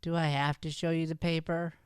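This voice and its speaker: A man's voice: fairly high pitch, flat delivery, fairly quick, smooth, no echo, clean studio sound, lightly acted. A man playing an earnest, evasive schoolkid in an improvised radio comedy, hesitant and innocently questioning. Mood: defensive. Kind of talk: improvised old-time radio comedy acting.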